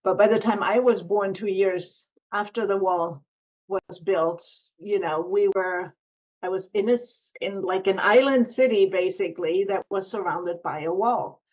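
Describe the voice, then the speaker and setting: A woman in her sixties from West Berlin with a German accent, telling her life story as an interview guest in a relaxed, explanatory way. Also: medium pitch, unhurried, muffled recording. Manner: explanatory, with frequent restarts and filler "yeah". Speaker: guest, author and entrepreneur. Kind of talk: conversational storytelling.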